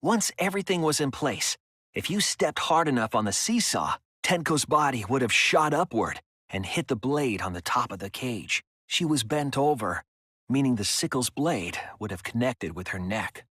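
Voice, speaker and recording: A man playing an earnest young sleuth in a dramatic game, reasoning with deliberate emphasis and compassion. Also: medium pitch, expressive, a bit bright, breathy edge, no echo, studio quality. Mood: analytical. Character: earnest young male sleuth reasoning through a murder trial. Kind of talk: dramatic game-dub acting.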